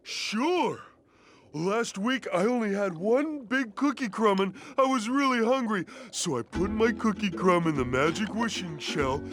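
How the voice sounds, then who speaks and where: A man's voice: high voice, lively intonation, a little slow. A man voicing a dim, good-natured, childish starfish in a cartoon video game, goofy and earnest. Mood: proud, simple. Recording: loud music over voice.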